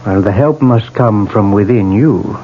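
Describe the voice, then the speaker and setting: An actor playing a calm psychiatrist, measured and questioning, in a 1970s radio drama. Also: male voice, lowish voice, close to an everyday voice. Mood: calm, probing. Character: calm, probing male psychiatrist. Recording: muffled, hissy 1970s radio recording.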